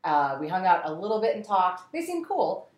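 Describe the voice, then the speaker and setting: A woman's voice: on the low side, big pitch swings, moderate pace. A young woman, a podcast guest, chatting casually and telling a story, acting out the texts she quotes. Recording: roomy room echo.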